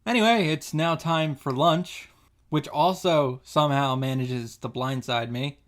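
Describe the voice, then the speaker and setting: A man's voice: a little high, measured pace. A man giving casual, sarcastic reaction commentary while reading and summarizing a visual novel. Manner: sarcastic asides, casual swearing.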